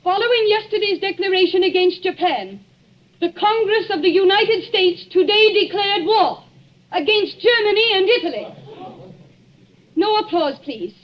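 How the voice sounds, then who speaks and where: A woman's voice: extremely high pitch, expressive, normal speed. An actress playing a grand society lady addressing a village concert in a formal, declamatory, very proper manner, in old-time radio drama style. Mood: solemn. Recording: dull, noisy old radio recording.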